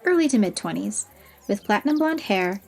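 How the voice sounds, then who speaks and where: A woman narrating a fantasy audio story in an expressive, even-paced reading, over soft music. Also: mid-range voice, normal speed, vocal fry.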